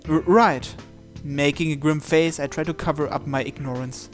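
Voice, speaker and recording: A man with a fairly high voice reads a visual novel aloud. He moves between narration and acted dialogue, with music playing underneath. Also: steady tone, normal speed. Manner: acts out the protagonist's lines. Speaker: video host reading a visual novel aloud. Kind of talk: dramatized reading of narration and dialogue.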